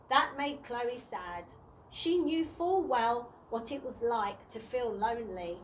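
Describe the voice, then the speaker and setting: A woman reading a children's storybook aloud in a deliberate way, sometimes stumbling and rereading words. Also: on the high side, a little hiss or hum, poor audio. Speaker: early years practitioner reading to children.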